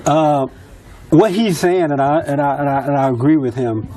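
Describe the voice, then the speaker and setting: A man hosting a talk show, moderating the discussion in a measured, explanatory way and laughing easily. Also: on the high side, crowd noise.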